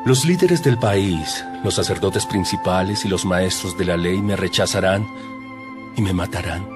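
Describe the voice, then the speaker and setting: A man's voice: low-pitched, measured pace. An actor playing a solemn, authoritative male teacher in a dramatized audio Bible, grave and commanding. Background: prominent music.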